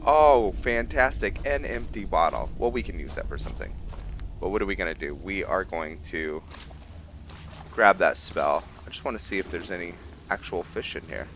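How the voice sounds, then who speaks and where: A low male voice with a bright, forward tone narrates a roleplay playthrough in a casual way. He pauses and thinks aloud as he works out what his character will do. There is noticeable noise behind him on what sounds like a home recording. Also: animated. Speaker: gamer hosting a roleplay playthrough. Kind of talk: casual gameplay commentary.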